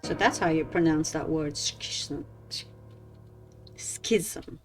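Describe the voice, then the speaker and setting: A woman's voice: low voice, slow, faint music behind. A woman streaming a video game, giving casual, playful commentary and reacting aloud, often repeating herself.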